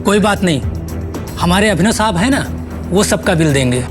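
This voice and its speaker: A man's voice: high-pitched, lively intonation, normal speed, music in the background. A man playing a smug, scheming young sidekick in an audio drama. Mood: smug, mocking.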